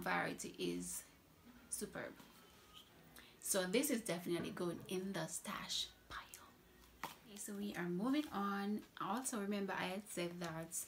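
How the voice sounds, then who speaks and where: A woman's voice: mid-range voice, gravelly, fairly bright tone, a little hiss or hum. A young woman from Trinidad, a makeup artist reviewing products in a casual vlog, enthusiastic and repeating words for emphasis.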